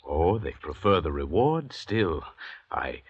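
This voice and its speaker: An actor playing a worldly, educated convict doctor and murderer in an old radio drama, urbane and deliberate, with a condescending manner. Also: male voice, deep voice, sing-song, husky, no room sound, lo-fi sound, full character voice. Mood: calm, patronizing. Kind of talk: 1940s radio drama acting. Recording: vintage radio broadcast.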